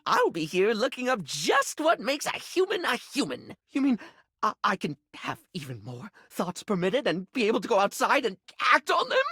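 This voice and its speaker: A man's voice: extremely high pitch, bright, forceful tone, breathy edge, no echo, studio quality, heavily acted. Flamboyant, swoopy-voiced chatty male game character. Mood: excited, fluttery. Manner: theatrical, gushing, rambling, camp endearments. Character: flamboyant, chatty, insecure male living toiletry object, scatterbrained and affectionate. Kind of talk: video game character acting.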